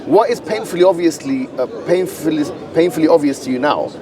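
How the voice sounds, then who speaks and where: A man with a London accent arguing forcefully in an outdoor street debate, lecturing and insistent. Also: a little high, background noise. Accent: London English. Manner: argumentative, lecturing, quick and insistent. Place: outdoor public park with crowd. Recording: distant street recording with crowd around.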